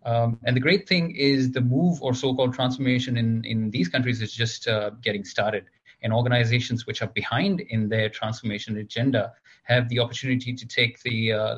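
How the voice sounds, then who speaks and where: Man from Malaysia and Singapore, measured. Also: mid-range voice, a bit bright, muffled recording. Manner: measured, with frequent "uh" fillers. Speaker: guest, technology consulting executive. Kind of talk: business interview.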